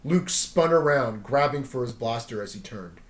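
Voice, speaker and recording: Male storyteller: expressive, wide-ranging intonation, read-aloud delivery, roomy echo. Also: medium pitch, normal speed. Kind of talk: animated story narration.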